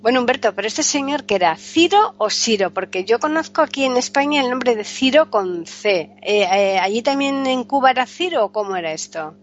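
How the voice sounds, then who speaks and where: A woman's voice: fairly high pitch, animated, fairly quick, hardly any pauses, highs cut off, faint music behind. A woman from Andalusia with an Andalusian accent, hosting a chat and asking curious, lightly teasing questions. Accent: Andalusian Spanish. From Andalusia, Spain. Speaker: podcast host. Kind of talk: casual interview chat.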